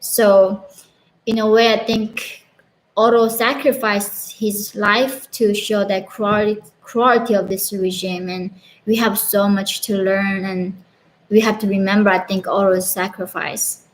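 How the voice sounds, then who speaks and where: Slow-spoken Korean-accented young woman. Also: mid-range voice, little pitch movement, slight background noise, poor audio. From North Korea. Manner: earnest, frequent "like" fillers, laughs between chat messages. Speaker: channel host answering live chat questions. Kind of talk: casual live Q&A.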